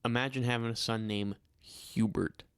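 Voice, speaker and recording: A man co-hosting a podcast in relaxed, joking chat. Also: mid-range voice, normal speed, clean tone. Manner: laid-back, joking, says "man" and "bro". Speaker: podcast co-host. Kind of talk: casual chat.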